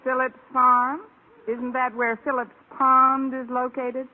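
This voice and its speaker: An American actress playing a wry, deadpan schoolteacher in an old radio sitcom, trading dry wisecracks. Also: female voice, high voice, slow. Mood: wry teasing. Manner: deadpan, sarcastic. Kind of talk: 1940s-50s American radio sitcom acting. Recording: tinny, heavily noisy old radio recording.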